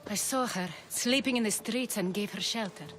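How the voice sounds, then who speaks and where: An actress voicing a reflective woman recalling the past in a video game, calm and reminiscing. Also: medium pitch, moderate pace, soft background music, lightly acted, poor audio. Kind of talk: video game cutscene acting.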